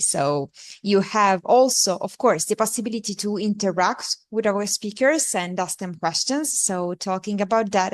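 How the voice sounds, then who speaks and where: A woman hosting a webinar on a video call, reading a scripted welcome with small slips. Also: lowish voice. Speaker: webinar host. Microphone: computer mic on a video call.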